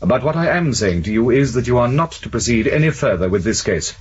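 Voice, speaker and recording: An actor playing a guarded secret service official in a 1970s radio drama, measured, formal and quietly authoritative. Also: male voice, medium pitch, expressive, husky. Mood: firm. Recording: dull, noisy old radio recording.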